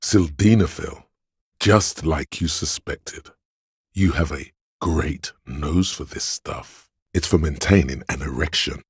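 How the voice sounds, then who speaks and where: An actor voicing a seductive, hedonistic inner voice of addiction and lust in a video game, savoring words in a husky, insinuating way. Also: male voice, slow, dry recording, studio quality, heavily acted. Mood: sly, knowing. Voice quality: deep, gravelly, husky. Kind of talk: video game character narration.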